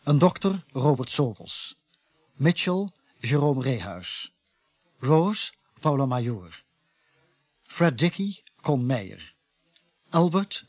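A formal Dutch radio announcer, a man, reads scripted credits at an unhurried pace. His medium-pitched voice is recorded very dry. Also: slightly rough, dry recording.

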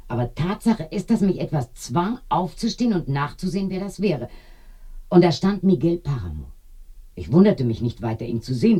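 Radio drama village widow: deeply mellow, breathy, eerie, confiding, with lively melodic swings. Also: female voice, exaggerated voice. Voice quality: dark, husky. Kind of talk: binaural radio drama acting.

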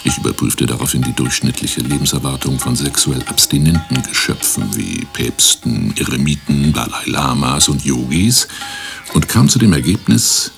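A man playing an eccentric, pompous guru-like professor in a radio play, lecturing with drawn-out emphasis. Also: rumbling low, animated, creaky, music in the background, heavily acted. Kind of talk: German radio play acting.

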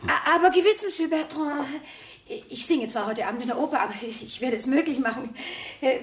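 A woman's voice: sits high, fairly quick, natural voice. An actress playing a flustered, effusive opera singer mother, stammering and fluttery, in a 1970s German radio play. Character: flustered, eager middle-aged opera singer, flighty mother.

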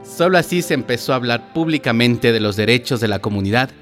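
An actor playing a solemn activist who recounts history deliberately in a radio drama. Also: male voice, mid-range voice, lively intonation, close to an everyday voice. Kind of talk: scripted radio drama narration. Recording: loud music and sirens behind.

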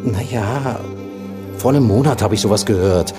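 An actor playing a wary, street-wise police informant in a German radio crime drama, evasive and colloquial. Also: male voice, on the low side, moderate pace, over music, close to an everyday voice. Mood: gossiping, dismissive.

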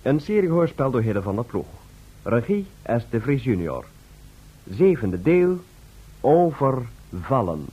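Slow, husky male announcer. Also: medium pitch, big pitch swings. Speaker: radio announcer. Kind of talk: scripted radio drama title announcement. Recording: dull, lo-fi old radio recording.

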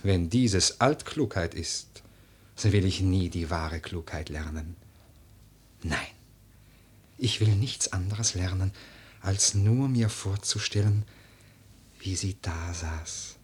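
Very husky, brooding dreamer. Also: male voice, low voice, slow pace, long pauses, a little mellow, heavily acted. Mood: wistful, rapt. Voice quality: husky, breathy. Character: melancholy, brooding dreamer lost in lovelorn memories. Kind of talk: poetic verse monologue, radio drama.

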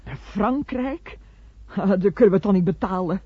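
An actress playing a worried, stubbornly rooted farm wife in a radio play, pleading and stammering with hesitation. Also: female voice, medium pitch, moderate pace, husky, dry recording, lightly acted. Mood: distressed, stubborn. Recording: dull, muffled old radio recording.

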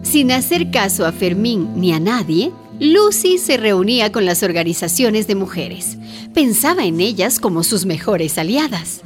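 A woman's voice: medium pitch, very animated, a little slow, over music. A woman narrating a radio drama in a composed, scripted storytelling style.

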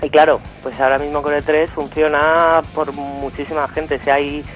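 A woman's voice: low voice, flat delivery, normal speed. A woman with an accent from Spain, chatting as an interview guest in an informal, colloquial and enthusiastic way. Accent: Spanish, from Spain. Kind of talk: casual interview chat. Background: faint music.

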